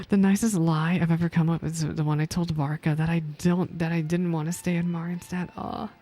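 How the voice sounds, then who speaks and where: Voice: mid-range voice, steady tone, normal speed, warm tone. A video game streamer reading game text aloud and reacting with emotional commentary. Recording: game music behind the voice. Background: video game music.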